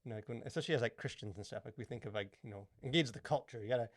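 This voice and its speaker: A man's voice: mid-range voice, rapid-fire, dry recording, clean studio sound. A man co-hosting a podcast, chatting casually and rambling with lots of fillers. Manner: rambling, many fillers like "like" and "you know". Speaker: podcast co-host. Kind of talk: casual chat.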